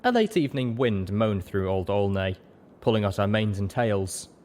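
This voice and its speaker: A man's voice: fairly low pitch, animated, moderate pace, a little mellow, a little hiss or hum. A man reading scripted first-person story narration as an audiobook narrator.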